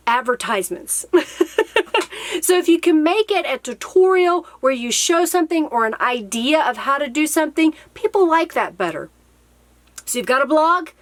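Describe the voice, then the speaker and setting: Woman, middle-aged, slightly high voice, dry close room sound, casual craft tutorial talk, explanatory. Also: no room sound. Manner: explanatory, asks and answers own questions. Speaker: craft video host and blogger. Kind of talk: casual instructional talk to camera. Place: small cluttered indoor craft room.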